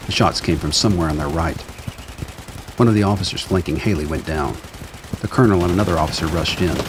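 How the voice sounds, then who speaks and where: A deep-voiced man narrates a radio drama from a script. His pitch sits very low, and faint ambient noise runs behind him.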